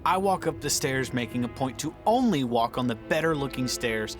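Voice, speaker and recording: Sardonic man at the game table played by an actor, lively pitch, grumbly remarks. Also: normal speed, over music, close to an everyday voice. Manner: sarcastic, grumbling. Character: sardonic man playing a doomed fighter.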